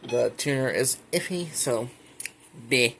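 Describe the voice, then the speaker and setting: A man hosting a casual home show-and-tell video, speaking informally and a little haltingly with enthusiastic asides. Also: medium pitch, animated, vocal fry, background noise. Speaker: hobbyist video creator and host. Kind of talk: casual show-and-tell narration of a radio collection. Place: indoors at home.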